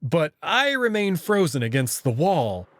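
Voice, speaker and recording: An unhurried man narrates a visual novel in first person. His voice sits a little high and moves in lively swings of pitch. The sound is dry and close. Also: no echo.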